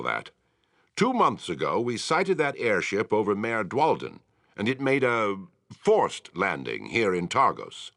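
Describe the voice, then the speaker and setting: Deep, forceful fantasy war leader. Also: male voice, no room sound, clean studio sound, exaggerated voice. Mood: musing, explanatory. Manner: measured, formal, authoritative delivery. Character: grave, commanding male town war leader in fantasy. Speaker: quest-giving military commander. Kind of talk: video game character acting, formal dialogue.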